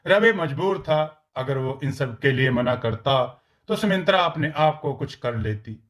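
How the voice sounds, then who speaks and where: A man's voice: mid-range voice, lively intonation, a little slow, fairly bright tone. A man reading scripted story narration as the narrator.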